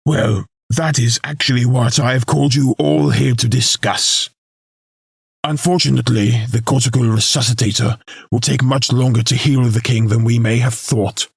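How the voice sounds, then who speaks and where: Guy doing a self-important council lord, formal speechy delivery, raspy w some fry, dry close recording, audio drama. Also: mid-range voice, fairly even intonation, no room sound. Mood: smooth, assured. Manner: formal, pompous, measured oratory. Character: male noble lord, silky, self-important political schemer. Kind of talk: scripted audio drama acting.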